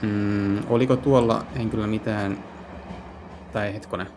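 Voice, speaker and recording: Low, creaky, halting man. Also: steady tone, background noise. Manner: halting, hesitant, searching for words. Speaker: gaming video host and live translator. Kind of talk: casual improvised translation of game dialogue.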